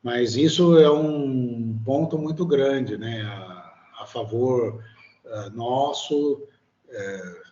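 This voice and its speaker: A man's voice: medium pitch, very slow, warm tone, dull audio. A Brazilian man, a guest in a casual interview, talking hesitantly with many fillers and tag questions. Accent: Brazilian Portuguese. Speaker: guest, immigrant living in Italy.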